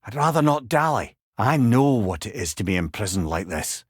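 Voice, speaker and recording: A man's voice: on the low side, very animated, normal speed, slightly rough, no echo, studio quality. A man with a Scottish accent playing a witty, learned older companion, a talking head in a video game, wry and eloquent with old-fashioned wording. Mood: wry, advising. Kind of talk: video game character dialogue.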